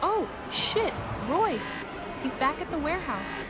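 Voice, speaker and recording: A blunt, rattled female survey worker, played by a woman in a horror audio drama. Her voice is fairly high, over clearly audible music. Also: sing-song, slow pace, heavily acted. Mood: alarmed.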